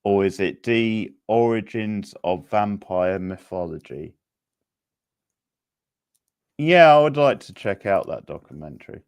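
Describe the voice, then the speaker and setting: A man works as the host of a casual quiz about video games. His voice sits low and he takes his time, setting out each option and answer deliberately. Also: expressive, smooth.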